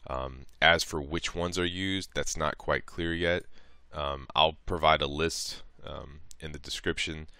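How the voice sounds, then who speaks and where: A man narrating a casual step-by-step software tutorial in an informal, somewhat hesitant way, thinking aloud as he goes. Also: a bass voice, fairly even intonation, measured pace, hardly any pauses, clean tone, no echo. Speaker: tutorial presenter.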